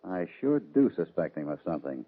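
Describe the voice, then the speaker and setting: A shrewd cowboy hero with a very low, husky voice. He talks with calm, deliberate command in old-time radio western style, on a muffled recording. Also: full character voice. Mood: calm suspicion. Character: shrewd, steady cowboy hero, unhurried and suspicious. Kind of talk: 1940s-50s radio western acting.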